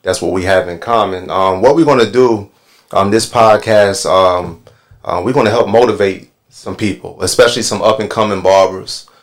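A man's voice: fairly low pitch, normal speed. A man hosting a casual podcast panel, talking loosely with lots of ums and restarts. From Northern Virginia. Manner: relaxed, filler-heavy with many ums. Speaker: podcast host and barber.

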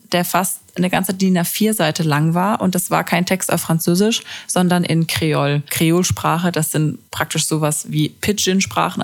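A woman in her twenties hosting a podcast, chatting casually and self-deprecatingly and mixing in English words. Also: on the low side. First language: German. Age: 20s. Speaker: podcast host. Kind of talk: casual chat.

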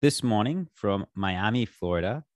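A man's voice: on the low side, lively intonation, moderate pace, dry recording, clean studio sound. A man hosting an interview, reading a polished formal introduction and asking his guest questions. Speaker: interview host.

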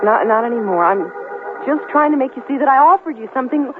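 High-voiced, resolute wounded ex-girlfriend. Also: animated, fast talker, music in the background. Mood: tender, earnest. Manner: earnest, emphatic, resolute. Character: hurt but firm, candid young ex-girlfriend. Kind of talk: radio drama acting. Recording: tinny narrowband radio broadcast with faint noise.

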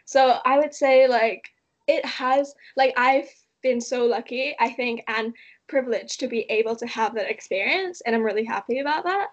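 A young woman from Malaysia answers questions in a loose, chatty way, her sentences full of "like" fillers. Her voice sits a bit high. The recording sounds dull and lo-fi. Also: moderate pace. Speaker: interviewee. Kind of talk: casual conversational interview.

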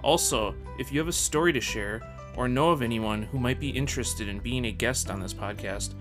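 A man's voice: medium pitch, a little fast. A man reading a scripted podcast introduction in a friendly, promotional way. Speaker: podcast producer. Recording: voice over guitar music. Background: guitar music.

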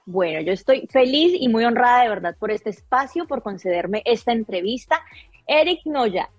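A woman's voice: a little high, animated, moderate pace, no room sound, faint music behind. Effusive woman hosting an interview. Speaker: host interviewer. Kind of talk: enthusiastic interview.

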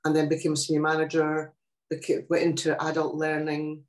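A woman's voice: low voice, completely flat delivery. Reflective older woman in echoey room. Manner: reflective, thoughtful, with many pauses and restarts. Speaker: guest poet. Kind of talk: casual interview chat.

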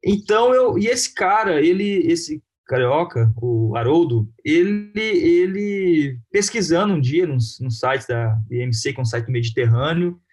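A man with a Brazilian accent talking informally and haltingly in an interview about his own work, often adding little tag questions. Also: on the high side, animated, a little mellow. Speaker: film director being interviewed.

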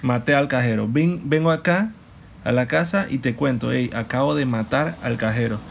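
Young male podcaster, gravelly, jokey storyteller. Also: medium pitch, slight background noise. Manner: joking, animated storytelling with quick laughs. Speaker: podcast co-host. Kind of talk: casual couple podcast chat.

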